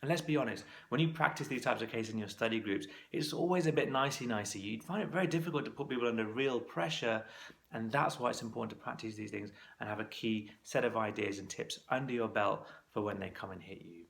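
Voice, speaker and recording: Low, gravelly-voiced man coaching. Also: fast, a bit bright. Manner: conversational, practical, coaching tone. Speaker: clinical exam course tutor. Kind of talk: instructional talk to camera.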